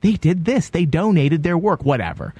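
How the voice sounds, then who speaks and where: A man hosting a comedic chat show while sick, his voice stuffy and nasal. He rambles and jokes in a lively, up-and-down voice that sits a bit high for a man. The sound is close and dry. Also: normal speed, breathy edge, no echo. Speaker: podcast host.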